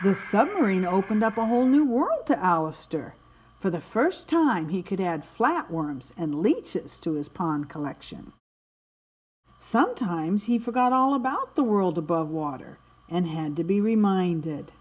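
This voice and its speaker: A woman narrating a children's story in an expressive, dramatic way, stretching words for emphasis. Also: medium pitch, a little slow, slightly rough. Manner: animated, dramatic delivery with emphatic word stretching. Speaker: storybook reader.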